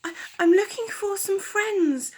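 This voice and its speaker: A woman voicing a lonely, polite male owl who stammers and hoots his words up high. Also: a little slow, a little mellow, rough recording. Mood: polite, hopeful. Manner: hooting, stammering, pitched up high.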